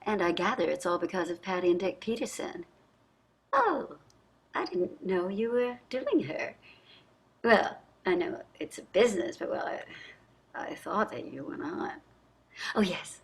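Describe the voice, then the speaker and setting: A woman's voice: on the low side, expressive, unhurried, pauses often, breathy edge, full character voice. An actress in a staged play reading, playing a wealthy, entitled society wife and mother with an affected, gushing manner. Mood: coy, chatty. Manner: affected, gushing, effusive endearments.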